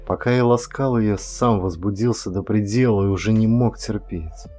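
A man's voice: on the low side, normal speed, mellow. Male audiobook narrator over music. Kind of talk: scripted first-person dramatic narration. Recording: music under the voice.

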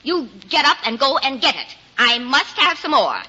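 A high, piercing nagging wife, talkative and sharp-tongued, swinging wildly in pitch. It is old-time 1940s radio acting. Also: female voice, very animated, moderate pace, exaggerated voice. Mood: nagging, bossy. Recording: muffled, noisy vintage radio recording.

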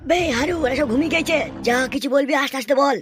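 Performer voicing high, quick-talking excitable cartoon sidekick. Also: lightly acted. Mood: scheming, nagging. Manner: nagging, excitable, slangy. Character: excitable, wary male cartoon sidekick, crude and nagging. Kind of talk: comic cartoon dubbing. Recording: clear music under the voice.

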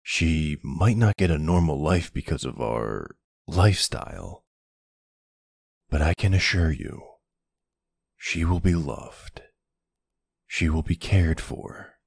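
Guy playing tender mafia dad in an asmr roleplay, real low and husky, takes his time. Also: no room sound, clean studio sound, heavily acted. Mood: tender, loving. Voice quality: gravelly, husky. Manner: soft, unhurried, hesitant pauses. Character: tender mafia boss husband and doting young father. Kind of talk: intimate ASMR roleplay acting.

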